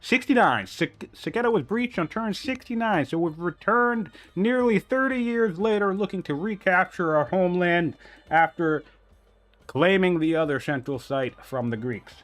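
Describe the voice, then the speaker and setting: Male caster, fairly high voice, thinking aloud through game math, a touch sniffly. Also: expressive, moderate pace, a bit bright, soft background music. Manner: analytical, thinking aloud with fillers. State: slightly sniffly after a cold. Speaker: tournament caster. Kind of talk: strategy game commentary.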